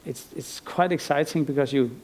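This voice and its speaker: A man's voice: on the high side, normal speed, darkish tone. A middle-aged man from Iceland giving a conference lecture as an artist, thoughtful and rambling, with frequent restarts and fillers. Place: lecture stage.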